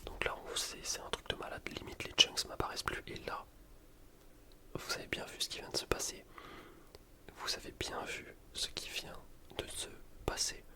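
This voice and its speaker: Man, soft whisper, ASMR gaming commentary, informal, rambling, self-deprecating. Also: normal speed. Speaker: gaming video creator.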